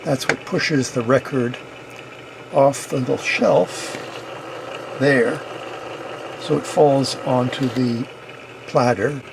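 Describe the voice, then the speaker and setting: A man with a gravelly voice plainly and methodically narrating a hands-on repair as the host of a hobby video. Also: mid-range voice, slow pace, pauses often, noisy background.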